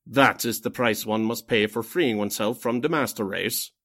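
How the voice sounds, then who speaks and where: A man's voice: medium pitch, normal speed, a bit bright. A man acting a grave, formal Norwegian resistance leader in a radio drama. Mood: solemn. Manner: solemn, formal.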